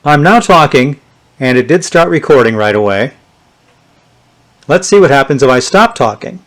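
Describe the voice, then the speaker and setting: A man's voice: medium pitch, expressive, normal speed, a bit bright. A man giving a casual, unscripted hands-on demonstration, thinking aloud as he tests things. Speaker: vintage electronics reviewer.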